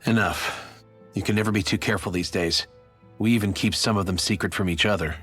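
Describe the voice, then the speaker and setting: A guarded rebel man played by a male actor with a slightly low voice. He is terse, practical and confident in a video game scene with music clearly behind him. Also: steady tone, brisk, a bit bright, lightly acted.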